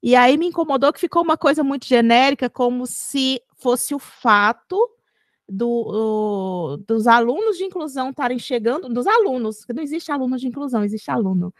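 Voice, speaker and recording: A woman's voice: sits high, lively intonation, moderate pace, dry recording, studio quality. A Brazilian woman co-hosting a podcast, arguing her point in an earnest, emphatic way. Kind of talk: casual podcast discussion.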